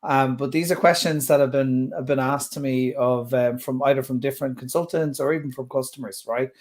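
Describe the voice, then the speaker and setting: A man's voice: medium pitch, fairly even intonation, brisk. A man in his thirties hosting a webinar Q&A from a home office, chatty and enthusiastic, often stumbling over words. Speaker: user group host. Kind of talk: casual webinar Q&A moderating.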